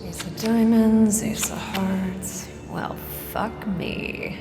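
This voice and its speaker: A woman's voice: mid-range voice, steady tone, drawn-out words, music in the background. An actress playing a shrewd, cool-headed woman gambler, muttering clipped, calculating asides in an audio drama. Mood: cool, wry. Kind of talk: audio drama acting, inner monologue. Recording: roomy, echoing hall reverb.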